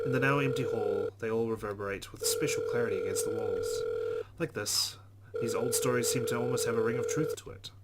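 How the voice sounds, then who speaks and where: A man reading a visual novel's first-person narration aloud as a let's player. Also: fairly low pitch. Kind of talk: dramatic narration of on-screen game text. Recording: noticeable background noise.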